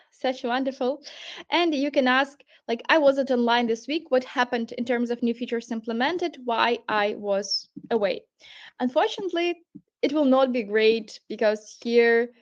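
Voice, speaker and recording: Higher-pitched Polish woman demoing software. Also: highs cut off. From Poland. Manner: chatty, loose phrasing with many fillers. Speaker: product presenter. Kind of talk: casual product walkthrough.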